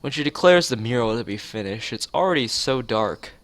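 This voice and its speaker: A man's voice: mid-range voice, lively intonation, brisk, a bit bright. A man streaming a game, reading a visual novel aloud and adding casual asides. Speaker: gaming streamer. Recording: music playing behind the voice.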